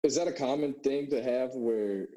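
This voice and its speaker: A man's voice: mid-range voice, moderate pace, mellow. A man asking questions in an informal, slangy conversational way.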